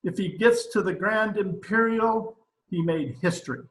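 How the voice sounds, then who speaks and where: A man's voice: sits high, measured pace, fairly bright tone, reverberant, poor audio. A man playing a pompous, blustering dictator president in an old-time radio comedy recorded over a video call. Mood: startled, dismissive. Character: pompous, excitable dictator president of a banana republic.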